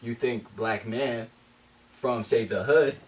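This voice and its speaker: A man's voice: mid-range voice, takes it slowly, far from the mic. A man with Jamaican heritage co-hosting a casual group discussion, building his points through questions. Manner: thoughtful, builds arguments with questions. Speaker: podcast co-host.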